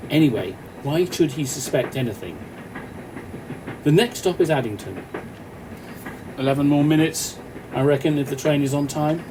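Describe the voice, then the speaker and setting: An actor plays a composed policeman taking charge in an amateur radio drama. He is measured and reassuring, with a firm air of authority. Train carriage noise runs clearly behind him. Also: medium pitch, close to an everyday voice. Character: Mike, calm, commanding off-duty policeman on a train. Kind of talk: amateur radio play acting.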